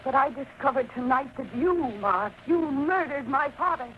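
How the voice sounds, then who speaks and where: A woman's voice: high voice, expressive, moderate pace, muffled recording. An actress in an old-time radio thriller playing an intense, insistent daughter accusing someone of her father's murder. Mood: accusing, defiant. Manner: dramatic, insistent. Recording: band-limited, noisy vintage radio recording.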